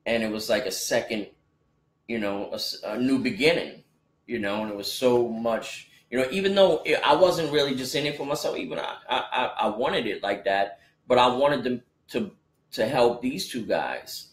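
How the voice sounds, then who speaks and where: A man's voice: medium pitch, moderate pace, echoey room. A man in his forties in a casual podcast chat, rambling with many restarts and fillers. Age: 40s. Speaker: podcast co-host, rapper.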